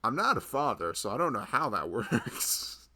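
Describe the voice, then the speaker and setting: Creaky-voiced, easygoing young-love husband played by a man. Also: mid-range voice, very animated, moderate pace, pushed and bright, exaggerated voice. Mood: amused, bashful. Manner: rambling, self-amused, hesitant, often chuckling. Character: loving, easygoing husband and nervous expectant father. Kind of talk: intimate ASMR roleplay, rambling casual chat.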